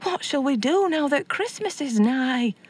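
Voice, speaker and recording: A woman's voice: high-pitched, lively intonation, measured pace, voice pushed up. Woman voicing a nervous little elf. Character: timid trembling little male elf.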